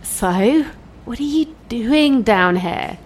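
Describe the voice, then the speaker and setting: A woman acting a concerned, gently probing friend and busy mother in an audio drama. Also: a little high, expressive, slow pace, husky, heavily acted. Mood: concerned, gentle.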